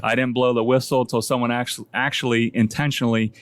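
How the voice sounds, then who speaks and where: A man's voice: mid-range voice, flat delivery, quick pace, no echo. A man chatting casually as a guest homebrewer, rambling and self-deprecating, laughing at his own stories. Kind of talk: casual podcast chat.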